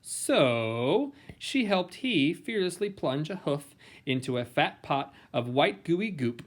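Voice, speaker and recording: A man reading a children's picture book aloud in a playful, theatrical way with dramatic emphasis and pauses. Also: a little high, very animated. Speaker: storybook reader.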